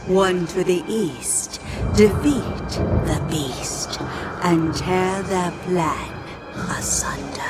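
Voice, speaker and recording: Ancient greek oracle for a fantasy game, lowish voice, super slow ceremonial chanting delivery. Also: female voice. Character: solemn, mystical female oracle of Apollo delivering prophecy. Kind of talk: scripted fantasy game acting.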